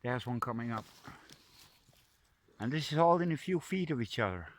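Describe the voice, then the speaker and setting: A man with a Dutch accent, softly narrating a mushroom hunt in a forest as a nature vlogger. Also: mid-range voice, expressive, normal speed, background noise. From Netherlands. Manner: soft-spoken, drops to a hushed near-whisper up close. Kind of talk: casual narration while foraging. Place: forest, outdoors.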